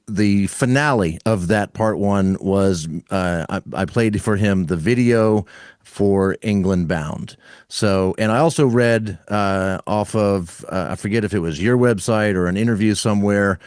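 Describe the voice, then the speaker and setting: Low-voiced man hosting a podcast interview. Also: measured pace, darkish tone, clean tone, no echo, clean studio sound. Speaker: podcast host interviewing a band. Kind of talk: casual interview.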